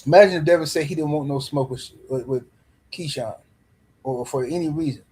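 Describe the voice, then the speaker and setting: Guy running a boxing live stream, kinda high voice, jokey and rambly, keeps repeating himself. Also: fairly quick, a little mellow, husky. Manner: loose, teasing, rambling with repeated words. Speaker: boxing livestream host. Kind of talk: casual live-stream commentary.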